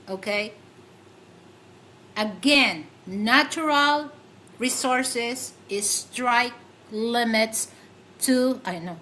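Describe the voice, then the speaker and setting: A woman with a Filipino accent hosts a casual livestream at an unhurried pace. She chats with many fillers and giggles often. Faint background noise runs under her voice. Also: a little high, fairly bright tone, poor audio. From Philippines. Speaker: livestream host.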